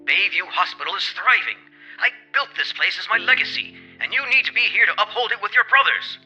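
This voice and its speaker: Proud older patriarch, raised pitch, very sharp forceful tone, insistent, radio drama. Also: male voice, lively intonation, a little fast, slightly rough, highs cut off, faint music behind, exaggerated voice. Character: proud, insistent older father, hospital founder.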